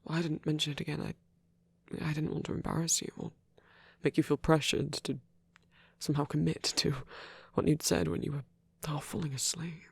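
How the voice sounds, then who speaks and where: A man performing a soft ASMR roleplay as a lonely, gentle old vampire priest, speaking haltingly and tenderly in formal words, breathy and often near a whisper. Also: a little high, flat delivery, no room sound. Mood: hesitant, embarrassed.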